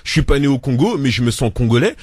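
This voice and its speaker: A man in a dry studio, speaking at an ordinary middle pitch as a debate guest. He talks earnestly in long, winding sentences, restarting himself as he goes. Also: animated, fast talker, no room sound. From France.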